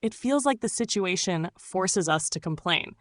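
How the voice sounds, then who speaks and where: A woman delivering a scripted explainer in a measured, instructive way, often posing rhetorical questions as a mindfulness host. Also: on the low side, lively intonation, no echo.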